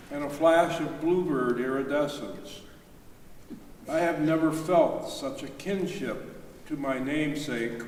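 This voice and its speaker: A man reading literary nature prose aloud at a live readers theater. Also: on the high side. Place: reverberant church, live reading. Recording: distant, echoey church hall, faint noise.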